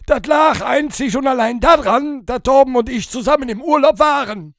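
A brash male podcast character with an extremely high voice. He banters in a crude, blunt, deadpan way, recorded very dry. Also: normal speed, no room sound. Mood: deadpan, cocky.

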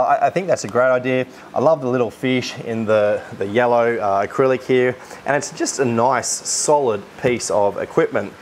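Aussie guy keen on a new gadget, mid pitch, stumbles and restarts a lot, faint hum behind. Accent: Australian. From Australia. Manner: enthusiastic, many restarts and fillers. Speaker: aquarium shop host. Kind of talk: product unboxing talk.

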